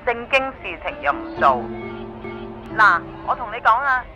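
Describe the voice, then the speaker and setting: A woman's voice: medium pitch, big pitch swings, normal speed, natural voice. Actress as stern nagging mother, middle-aged woman, Hong Kong accent, radio drama, lecturing, muffled lo-fi sound. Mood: exasperated scolding. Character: nagging, scornful middle-aged mother scolding her daughter. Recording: dull lo-fi voice, loud music behind.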